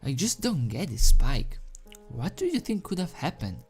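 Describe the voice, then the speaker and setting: A man voicing a caring, worried young female unicorn pony in a storybook reading, soft-spoken and anxious. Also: on the high side, sing-song, normal speed, faint music behind, voice pushed up.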